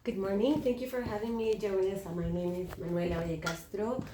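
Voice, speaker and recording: A woman from Chile speaking at a panel discussion, thoughtful and halting with many fillers and restarts. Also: mid-range voice, steady tone, noisy background. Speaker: panelist, activist and educator. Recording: echoey room.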